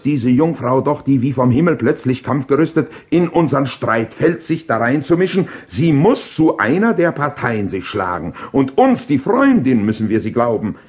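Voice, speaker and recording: A man's voice: medium pitch, lightly acted. Guy acting proud greek war king in old verse play, mid voice, very declamatory and rhetorical. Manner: declamatory, rhetorical, deliberate phrasing. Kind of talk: classical German verse drama, declaimed audio play.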